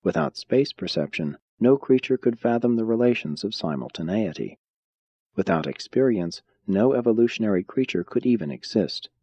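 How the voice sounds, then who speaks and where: A man reading an audiobook of philosophical religious text in a measured, solemn, deliberate way. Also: lowish voice, lively intonation, mellow, husky, dry recording. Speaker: audiobook narrator. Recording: dull, muffled lo-fi audio.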